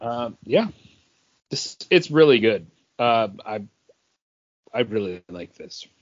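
A man hosting a podcast, chatting casually and haltingly, often repeating himself. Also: medium pitch, lively intonation, dry recording, dull audio. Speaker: podcast host. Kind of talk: casual chat.

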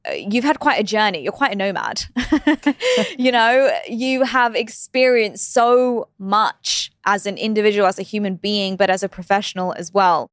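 A woman's voice: medium pitch, expressive, moderate pace, dry recording. Brief: woman podcast host, enthusiastic interviewer, dry close sound. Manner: enthusiastic, effusive, laughs often.

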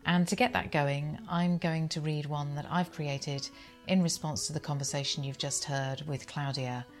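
A woman's voice: low-pitched, fairly even intonation, normal speed. A woman podcast host giving a scripted-sounding closing announcement. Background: plucked guitar music.

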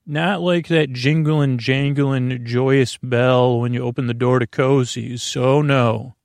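A man hosting a bedtime podcast, talking in a lulling, creaky way and wandering off on meandering tangents. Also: medium pitch, fairly even intonation, slow pace, darkish tone, no echo, clean studio sound. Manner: meandering, tangent-prone, self-interrupting. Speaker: podcast host. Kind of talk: rambling bedtime podcast talk.

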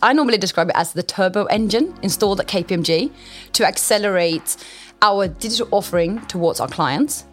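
A young woman who heads a client center, talking in an animated, conversational way and laughing easily. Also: mid-range voice, a little fast. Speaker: head of a client insight center. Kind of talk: recruitment video interview. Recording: soft music bed behind.

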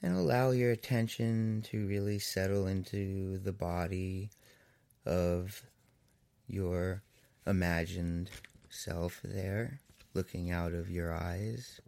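A man leading a guided meditation as a therapist, in a soothing manner with long pauses between phrases. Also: low voice, fairly even intonation, gravelly, darkish tone, no room sound.

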